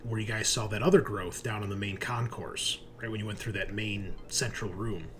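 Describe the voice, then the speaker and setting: A man's voice: lowish voice, fairly even intonation, normal speed, a bit bright. Man game-mastering over a noisy recording. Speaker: game master of a tabletop roleplaying game. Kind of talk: casual game narration and table chat. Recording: clearly noisy recording with room ambience.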